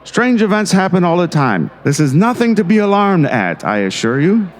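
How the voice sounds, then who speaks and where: A man's voice: high-pitched, sing-song, normal speed. A man playing a pompous, condescending small-town mayor in an audio drama. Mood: dismissive, blustering. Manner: smooth-talking, condescending. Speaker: voice actor.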